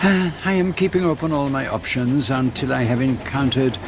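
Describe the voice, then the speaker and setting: An actor playing a fussy, shrewd detective in a radio drama, with a French-speaking European accent and precise, formal phrasing. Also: male voice, mid-range voice, normal speed, background noise, close to an everyday voice. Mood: courteous, scheming. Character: fussy, shrewd, courteous foreign male detective. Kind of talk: radio drama acting and first-person narration.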